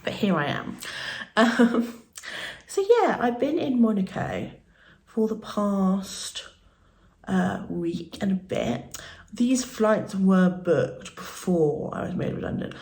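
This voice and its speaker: A woman from the UK in her thirties, a beauty vlogger chatting casually while doing her makeup at home, her voice croaky from the early morning, rambling with soft laughs and plenty of fillers. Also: medium pitch, sing-song, slow pace. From United Kingdom. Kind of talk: casual get-ready-with-me chat.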